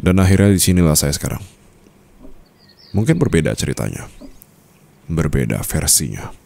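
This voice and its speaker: An actor with an Indonesian accent playing a calm, teasing and tender mafia boss in an intimate ASMR roleplay, speaking softly and slowly with pauses. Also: male voice, exaggerated voice. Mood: confiding, somber. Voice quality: very deep, creaky voice.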